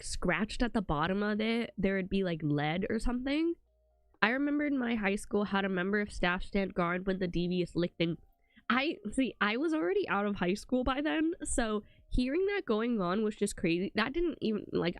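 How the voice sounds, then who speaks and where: A woman's voice: medium pitch, clean tone, dry recording. Congested young woman, rambling stream chat. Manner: rambling, full of "like" and "um". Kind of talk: casual chat to a live stream.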